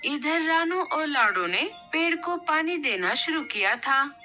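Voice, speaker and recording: Slow-paced woman narrating a children's story. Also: high-pitched, animated, bright, forceful tone, faint music behind.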